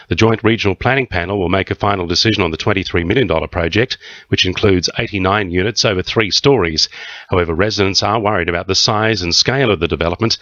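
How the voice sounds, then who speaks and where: An Australian man reads a scripted news bulletin in a low voice. The radio recording is slightly dull. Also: a bit bright, no room sound.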